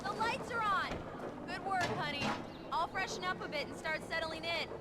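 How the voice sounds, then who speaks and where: A woman playing an affectionate, slightly anxious wife in a game, talking gently and naturally. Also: very high-pitched, normal speed, background noise. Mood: cheerful.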